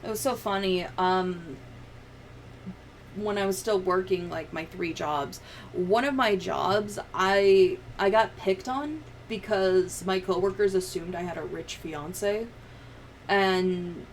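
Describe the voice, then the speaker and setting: Woman, young adult, casual stream chat, sweary and sardonic, mid pitch, faint background noise. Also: low-quality recording. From United States. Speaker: livestreamer editing a video. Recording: clear background noise, room ambience.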